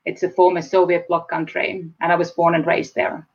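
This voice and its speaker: Woman in her 40s, Estonian accent, measured and reflective, slightly muffled lo-fi audio. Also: on the low side, little pitch movement, slightly rough. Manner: measured, reflective, slows to stress key words. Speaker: guest, speaker and trainer. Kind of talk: casual interview chat. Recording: slightly dull lo-fi sound.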